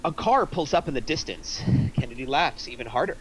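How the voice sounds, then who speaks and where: Bright-voiced man narrating a screenplay. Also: medium pitch, animated, brisk, slight background noise, low-quality recording. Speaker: narrator reading stage directions.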